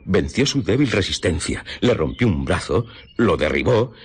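An actor from a Spanish radio drama playing a grave police investigator who reports formally and methodically, with a Castilian accent. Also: male voice, sits low, very animated, moderate pace, breathy edge, full character voice. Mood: grave, measured. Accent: Spain. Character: grave male police investigator recounting a murder.

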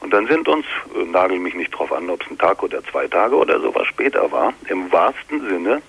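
A man calling a phone-in, telling an anecdote casually and haltingly, often hedging and searching for words. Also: low-pitched, big pitch swings, gravelly, fairly bright tone, breathy edge. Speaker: phone-in caller.

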